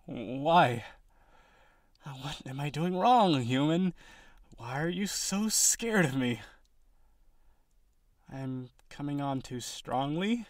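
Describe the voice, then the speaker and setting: A young male harpy suitor, acted by a man in an audio roleplay, with a slightly high voice recorded close and dry. He is awkward and insecure, rambling earnestly as he pleads his case straight to the listener. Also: lively intonation, takes it slowly, long pauses, no room sound. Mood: frustrated pleading.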